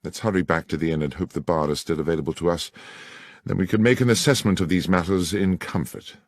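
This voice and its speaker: A man playing a shrewd gentleman detective with an English accent, formal, precise and authoritative. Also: low-pitched, fast, no room sound, studio quality. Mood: pensive. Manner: crisp, precise, formal, commanding.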